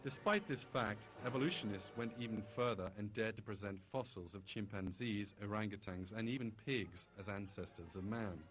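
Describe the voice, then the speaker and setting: Low-voiced man narrating a documentary over music. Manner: measured, formal. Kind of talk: scripted narration.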